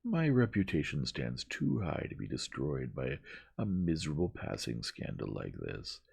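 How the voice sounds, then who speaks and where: Male reader as Victorian gentleman, very breathy, lively intonation, earnest, gentle, pleading, composed, audiobook. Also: a bass voice, moderate pace, exaggerated voice. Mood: soothing, dismissive. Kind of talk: dramatized audiobook reading.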